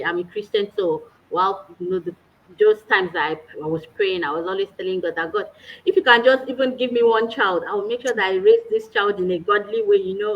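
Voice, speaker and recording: A woman's voice: mid-range voice, lo-fi sound. A woman, a guest earnestly telling her personal story in a casual chat. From Nigeria. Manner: earnest, warm storytelling with small laughs.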